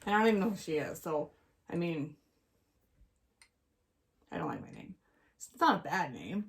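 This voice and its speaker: A woman's voice: deep voice, huge pitch swings, normal speed. Woman in her 40s, casual cohost, kinda unsure, repeats stuff and trails off. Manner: hesitant, trails off, repeats herself. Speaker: co-host. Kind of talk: casual chat.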